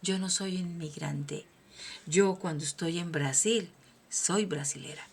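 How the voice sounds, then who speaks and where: A woman's voice: on the low side, slow pace, husky. Emphatic Colombian woman speaker. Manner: emphatic, preaching, swings from soft to loud. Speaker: host, motivational speaker. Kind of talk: personal motivational monologue.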